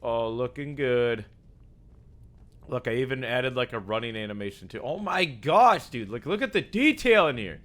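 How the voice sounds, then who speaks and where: A young man with a raspy, sore-sounding voice, casually commenting on his gameplay, often breaking into excited yelps between low mumbles. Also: normal speed. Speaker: gaming YouTuber.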